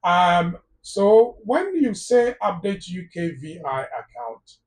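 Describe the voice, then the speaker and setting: A man's voice: high voice, sing-song, slow pace, distant mic. A man with a Ghanaian English accent giving immigration advice on a live phone-in talk show, emphatic and repeating words for stress. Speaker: immigration lawyer guest.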